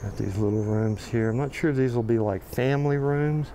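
A man with an American accent walks a construction site outdoors, talking casually to his camera and thinking aloud with plenty of pauses and fillers. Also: mid-range voice, mellow. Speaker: videographer on a walking site tour. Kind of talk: casual walking commentary. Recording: outdoor camera audio with noticeable background noise.

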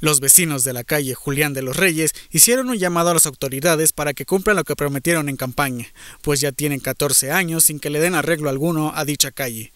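A man with a Mexican Spanish accent reading a scripted news voice-over in an even, formal tone. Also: a little high, fairly quick, dry recording. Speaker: news reporter.

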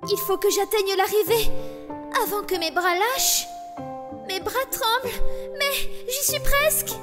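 A woman's voice: extremely high pitch, measured pace, over music. A woman voicing a timid, anxious schoolgirl in an anime fan dub, speaking in a hesitant, plaintive way. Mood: straining, panicky.

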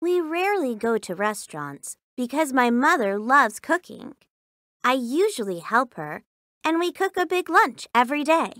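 A cheerful young schoolgirl, acted by a woman, speaks in a high, bright voice with animated intonation. Her textbook sentences are simple and carefully enunciated. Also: moderate pace, no echo, studio quality. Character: cheerful young Japanese schoolgirl describing meals. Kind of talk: scripted language-textbook audio reading.

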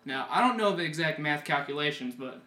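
A man's voice: a little high, animated, brisk. A teenage young man giving a humorous self-introduction to a camera indoors. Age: teens. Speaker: high school class officer, pageant contestant. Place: small wood-panelled room, indoors. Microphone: camera a few feet away. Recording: roomy camera sound, faint noise.

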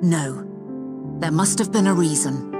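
A low, composed woman's voice for a fantasy game scholar. She weighs each point in measured, formal phrases with quiet resolve, over background music. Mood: pensive, measured. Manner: measured, deliberate, formal diction. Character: composed, resolute woman, ancient robed scholar, reasoning thoughtfully. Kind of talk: scripted game cutscene acting.

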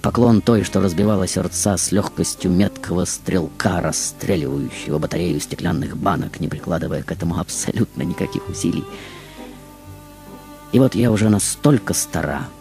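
A man playing an aged, reclusive female film star who recounts her life in a deliberate, declamatory stage monologue. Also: sits low, creaky, a little mellow, faint music behind. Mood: grandly ironic. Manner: declamatory, deliberate, addressing the audience. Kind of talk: theatrical monologue, stage storytelling.

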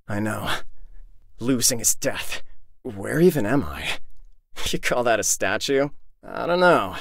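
Cocky, curt young fighter, swinging pitch. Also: male voice, fairly bright tone, dry recording, studio quality, full character voice. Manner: curt, taunting, dismissive. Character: cocky, brash young male fighter with prosthetic arm. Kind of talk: video game character voice lines.

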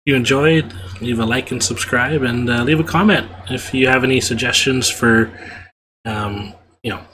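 A man's voice: medium pitch, moderate pace, noisy background. A young man giving casual, laid-back gameplay commentary in a hesitant way, recorded in a room at home. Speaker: game streamer. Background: game music.

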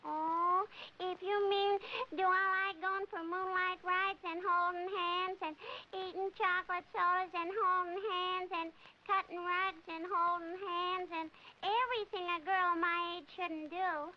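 A woman's voice: extremely high pitch, level tone, unhurried. A woman playing a giddy, lovestruck teenage girl with coy, gushing talk in a 1940s film comedy. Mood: gushing, excited. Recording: dull old film soundtrack.

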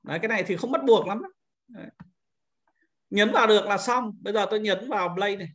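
A man's voice: high voice, quick pace, a bit bright. A man giving an informal screen-recorded tutorial as an instructor, explaining things step by step and often repeating himself.

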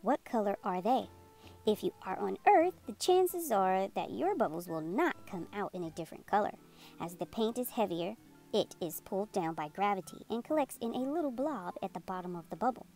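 A woman narrating a children's read-aloud in an expressive, deliberate storytelling manner. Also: mid-range voice. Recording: music behind the voice. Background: music.

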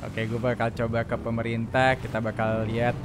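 A man's voice: medium pitch, completely flat delivery, moderate pace. A man giving casual, slangy gameplay commentary, mixing in English phrases. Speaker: gaming video host. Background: music.

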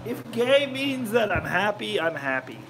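A man's voice: high-pitched, very animated, unhurried, noisy background. A man rambling and joking with his live chat while cooking in his home kitchen. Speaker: cooking vlogger. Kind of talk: casual cooking livestream chat.

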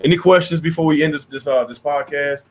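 An American man in his thirties hosting a casual gaming podcast with informal slang and animated banter. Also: on the high side, fast talker, slight background noise. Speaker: podcast host. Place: small game room at home, indoors.

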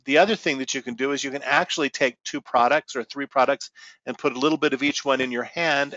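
Male webinar presenter, mid-pitched, conversational and explanatory, dry room, dull lo-fi audio. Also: a bit bright, no room sound. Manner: conversational, explanatory, self-correcting. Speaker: company presenter.

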